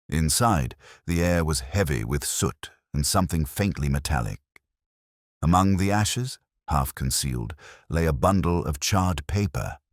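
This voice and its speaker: A man voicing a weary, erudite police inspector who recounts a case in measured, literary first-person narration. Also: a bass voice, dry recording, clean studio sound, exaggerated voice. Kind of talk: scripted first-person detective story narration.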